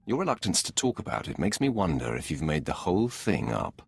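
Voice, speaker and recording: Very deep, husky, commanding warrior lord. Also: male voice, very animated, brisk, dry recording, exaggerated voice. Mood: skeptical, dry. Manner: curt, authoritative, probing. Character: commanding, skeptical male dark warrior lord. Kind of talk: video game voice acting.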